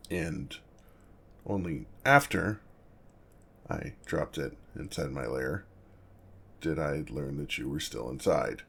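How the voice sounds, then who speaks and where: Man voicing a very deep, gravelly, awkward gentle dragon. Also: lively intonation, exaggerated voice. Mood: sheepish, apologetic. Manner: halting, flustered, self-correcting, measured. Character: awkward, apologetic, gentle male dragon who loves treasure. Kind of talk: ASMR roleplay.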